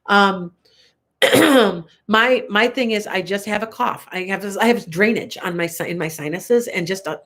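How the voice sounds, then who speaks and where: An older woman with a Chicago accent gives a live tarot reading from home. She talks in a loose, rambling, chatty way and laughs often. Her voice sounds a little congested, with an occasional cough, at a middle pitch. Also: a little slow, fairly bright tone. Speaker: tarot reader. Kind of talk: live-stream tarot reading answering viewer comments.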